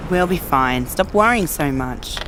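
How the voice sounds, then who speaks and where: A woman's voice: low-pitched, animated, measured pace, noisy background. A woman playing a headstrong, impatient young survivor in an audio drama, curt and sarcastic. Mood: dismissive. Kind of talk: conversational audio drama acting.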